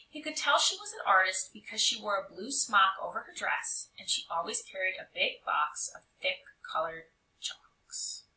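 A woman reading a children's picture book aloud in an expressive, animated way with emphatic phrasing. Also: mid-range voice. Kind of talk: expressive storybook read-aloud narration. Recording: roomy echo, distant mic.

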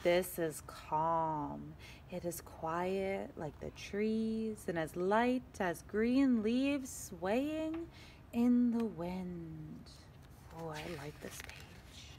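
Very animated, slow-paced woman reading to children. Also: lowish voice, slight background noise. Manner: expressive, slow and deliberate, addressing young children. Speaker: teacher reading aloud to young children. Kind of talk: animated picture-book read-aloud with asides to children.

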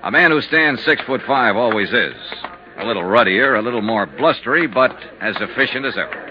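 Wry, crisp 1950s radio detective, acted by a man. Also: medium pitch, animated, brisk, noisy background, natural voice. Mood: dry narration. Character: cool, wry freelance insurance investigator narrating his case. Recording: dull 1950s radio recording with music.

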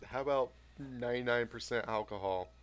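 A man's voice: medium pitch, fairly quick, clear, resonant tone. A man joking and teasing in casual banter.

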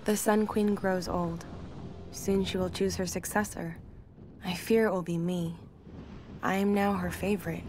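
Casting: female actor as grave, dutiful temple maiden for a game, rather low, restrained melody, measured delivery. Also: steady tone, lightly acted. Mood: uneasy, fearful. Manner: solemn, measured, formal. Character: young ancient priestess, troubled, dutiful and resolute. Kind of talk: dramatic scripted game narration. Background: music.

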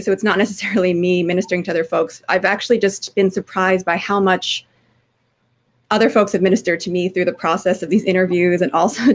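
A woman in her thirties with a scratchy, hoarse voice, speaking thoughtfully as a panelist on a video call. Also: mid-range voice. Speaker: panelist, oral historian. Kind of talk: conference panel remarks. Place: home office with bookshelves. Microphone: video call webcam.

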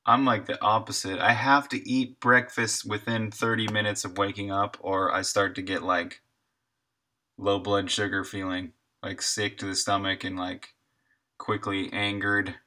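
A man streams his game development, talking casually and thinking out loud. His pace is very unhurried, with gaps as he works through code. The voice sits a little low yet sounds bright and crisp-edged. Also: flat delivery, slight background noise. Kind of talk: casual chat while coding, thinking aloud.

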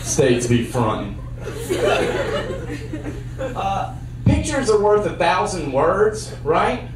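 A young man doing a stand-up comedy set with a handheld mic on a theater stage, trading dry one-liners for sudden loud bursts. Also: sits high, very animated, slow, background noise. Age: young adult. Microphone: handheld mic on a cord. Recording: roomy sound from a far camera.

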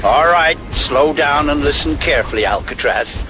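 An actor playing a commanding older male handler who briefs a soldier remotely in a deliberate, authoritative way in a video game. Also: fairly high pitch, expressive, heavy background noise, lightly acted. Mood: measured warning.

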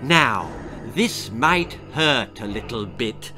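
Man as a slow, sinister storybook doctor. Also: mid-range voice, animated, over music, voice pushed down. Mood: menacing. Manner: measured, menacing, drawn-out. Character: gleefully sinister male doctor, calmly cruel.

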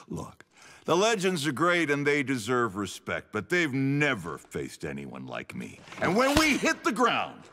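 A charismatic, swaggering prizefighter giving an interview in a game cinematic. His mid-pitched voice swings widely and confidently. It rings with a long reverb. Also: big pitch swings, a little slow, sharp tone. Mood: cocky challenge. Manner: swaggering, self-assured. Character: cocky, charismatic male champion fighter being interviewed.

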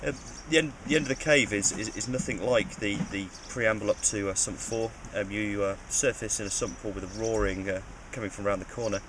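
A man's voice: lowish voice, a little fast, hardly any pauses, background noise. A man describing a cave passage, hesitant and repeating his words. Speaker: cave diver. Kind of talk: interview recap.

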